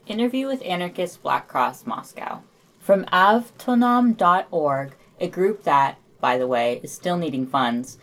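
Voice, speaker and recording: Female podcast co-host, low-pitched, reading news summaries from a script with casual asides. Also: expressive, a little slow, a bit bright, low-quality recording.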